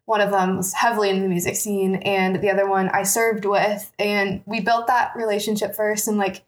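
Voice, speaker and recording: A woman's voice: mid-range voice, level tone, normal speed. A woman talking conversationally in a group discussion, telling personal stories and acting out bits of dialogue. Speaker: panel discussion participant.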